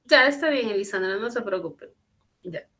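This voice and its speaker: A woman's voice: mid-range voice, animated, moderate pace, bright, forceful tone. Patient woman teaching English online. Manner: patient, encouraging, repeats and translates phrases. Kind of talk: online class lesson. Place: home, online class. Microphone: computer mic on a video call.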